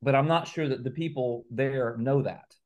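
A man's voice: mid-range voice, moderate pace, darkish tone. A man speaking as an interview guest on a video call, explaining ideas methodically, step by step. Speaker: guest author and researcher.

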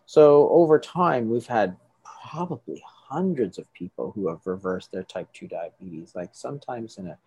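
A man's voice: fairly low pitch, lively intonation, moderate pace, dark tone, breathy edge. A middle-aged man, a doctor, explaining things to an interviewer, often restarting and checking with "right?". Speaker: doctor treating patients.

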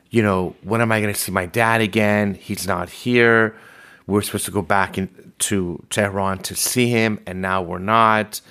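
A man in his fifties, born in Iran, telling his life story in a measured, reflective way during a podcast interview. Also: on the low side, a bit bright. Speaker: podcast host being interviewed. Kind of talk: conversational interview.

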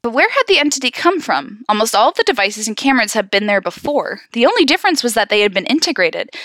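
A woman's voice: medium pitch, no echo, studio quality. A woman podcast host reading horror stories aloud, with casual, amused asides. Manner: giggly, animated in asides.

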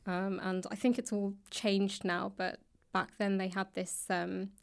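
A woman with a southern British accent and a medium-pitched voice talks casually as an interview guest. She speaks tentatively, often pausing in the middle of a thought. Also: little pitch movement, gravelly, smooth. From London, England. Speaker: interview guest, author and actor. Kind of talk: casual chat. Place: indoors, in a library.

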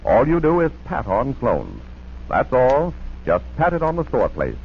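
Male 1940s announcer, emphatic salesman with lively swings in pitch, dull hissy recording. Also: unhurried, gravelly. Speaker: radio announcer and sponsor pitchman.

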